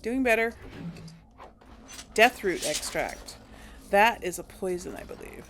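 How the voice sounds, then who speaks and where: Woman let's-play host, lively pitch, unhurried, thinks aloud with chuckles. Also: gravelly, a little hiss or hum. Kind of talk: casual gameplay commentary.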